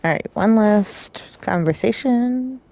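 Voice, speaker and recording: A woman's voice: medium pitch, slow pace, mellow, slight background noise. A woman giving casual, reactive and giggly commentary while playing a game.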